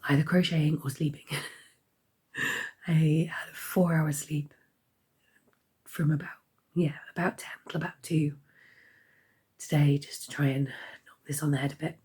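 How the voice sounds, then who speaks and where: A woman giving a casual crafting update, hoarse and half-whispering as her voice fails. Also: sits low, level tone, measured pace, pauses often, a little mellow. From United Kingdom. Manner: half-whispered, croaky delivery. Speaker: crochet vlogger.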